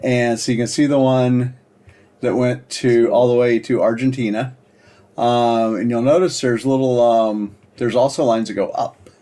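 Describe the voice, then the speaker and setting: Male demo presenter, medium pitch, informal and explanatory, halting delivery for a screen-share walkthrough. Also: fairly even intonation, warm tone. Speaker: presenter demonstrating a software project.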